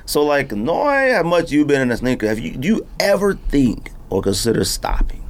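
A man's voice: on the high side, sing-song, normal speed. A man hosting a podcast, chatting casually in slangy, rambling stories with a guest. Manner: informal, slangy, rambling storytelling. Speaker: podcast host. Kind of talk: casual conversational interview. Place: podcast studio, in-person conversation.

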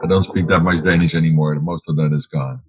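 A low-voiced, middle-aged man who grew up speaking Dutch talks through his answers, full of ums and false starts. His voice is husky and deep, recorded close and dry. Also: steady tone, quick pace, dry recording. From Netherlands. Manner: rambling with fillers and restarts. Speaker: guest, business owner. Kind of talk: casual interview answers.

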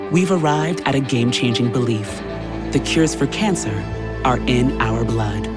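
Resolute announcer over loud music. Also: mid-range voice. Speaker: charity advertisement announcer. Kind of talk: scripted public service announcement. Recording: loud music bed under voice.

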